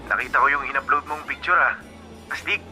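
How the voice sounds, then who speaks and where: A man's voice: mid-range voice, brisk, low-quality recording. An actor playing a smug young man, a mocking childhood friend with an offhand, taunting manner, in a comedic drama. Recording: loud music behind voice.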